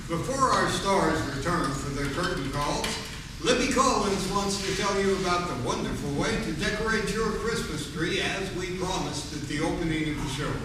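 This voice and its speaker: A man's voice: high-pitched, animated, moderate pace. A man playing an enthusiastic 1940s radio announcer, reading from a script on stage. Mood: polished, enthusiastic. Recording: distant, echoey, noisy hall.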